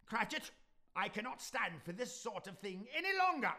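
An actor playing a gleeful, reformed old miser in a radio play, with an exuberant, chuckling delivery. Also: male voice, very animated, moderate pace, pushed and bright, heavily acted. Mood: teasing. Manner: exuberant, chuckling, sweeping pitch. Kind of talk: stage radio play acting.

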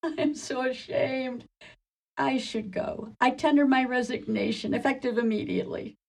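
A woman's voice: high voice, normal speed, gravelly, a little mellow. A woman playing a blundering, weepy servant in a comedy radio play, meek, apologetic and overwrought. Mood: overwrought, tearful.

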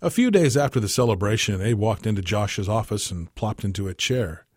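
A man with a husky voice reading a scripted short story as narrator. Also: on the low side, expressive, fairly quick, no echo, clean studio sound. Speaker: story reader.

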